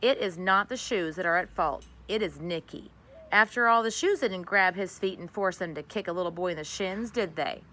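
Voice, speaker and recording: A woman voicing a firm, reasoning father in a children's storybook, measured and lecturing. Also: on the low side, bright, forceful tone. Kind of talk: children's storybook read aloud.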